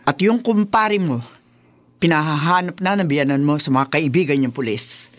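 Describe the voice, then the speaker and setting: An actress playing a stern, devoted older mother with a Filipino accent in a Tagalog radio drama, emphatic and deliberate. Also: sits low, natural voice. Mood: firm.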